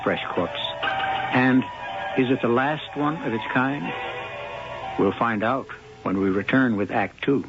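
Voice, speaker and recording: A man narrating a 1970s radio mystery drama in a measured, ominous storytelling manner. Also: mid-range voice, close to an everyday voice. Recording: lo-fi old radio broadcast with music.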